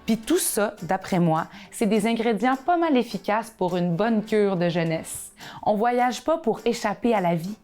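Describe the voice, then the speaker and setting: A woman, reading a scripted, reflective narration in a measured way. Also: medium pitch, animated, a little mellow, soft background music. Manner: measured, thoughtful voice-over.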